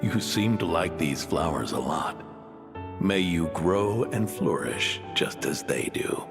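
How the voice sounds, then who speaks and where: A loving father in a video game, low and gravelly, tender and solemn. His voice rings with long reverb, with music behind it. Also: animated, slow pace, full character voice. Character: gentle, tender father reading a written note.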